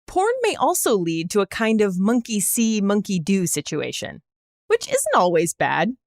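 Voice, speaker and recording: A woman's voice: medium pitch, huge pitch swings, unhurried, dry recording, clean studio sound. A woman hosting a podcast, speaking in a conversational interviewing and explaining style. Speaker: podcast host.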